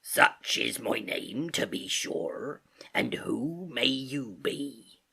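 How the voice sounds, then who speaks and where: Storybook pirate landlord, gravelly n raspy, hearty but sly, talks like a salty old sailor. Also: male voice, medium pitch, expressive, extremely slow, pushed and bright, full character voice. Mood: smooth, friendly. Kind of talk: audiobook character acting.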